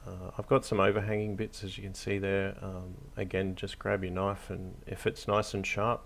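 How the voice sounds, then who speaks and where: A man hosting a hobby tutorial, narrating casually off the cuff in a hesitant, low-key, encouraging way. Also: monotone, normal speed, creaky, fairly bright tone. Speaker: hobby craft tutorial host.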